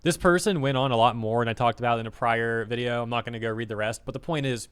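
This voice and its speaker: A man rants in casual commentary, scornful and full of fillers, with his words tumbling out in quick bursts. His voice is slightly hoarse, as if he is losing it. Underneath, the tone stays smooth and resonant. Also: medium pitch, fairly bright tone. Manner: scornful, filler-heavy, talks fast in bursts. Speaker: vtuber commentator.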